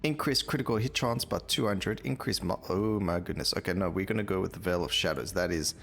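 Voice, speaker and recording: A man with a very deep voice narrates his gameplay in a casual, conversational way. There is a gravelly fry to his speech as he thinks aloud and repeats himself. Game music plays behind him. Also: fairly quick, a bit bright. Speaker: gaming video host.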